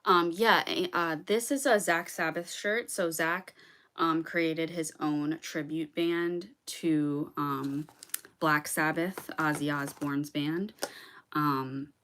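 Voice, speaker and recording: Casual deep-ish female voice, singer-songwriter rambling on a stream, lots of um and restarts. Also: steady tone, takes it slowly, fairly bright tone. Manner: rambling, chatty, many "um"s and restarts. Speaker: singer-songwriter hosting a livestream. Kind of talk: casual livestream chat, answering viewers.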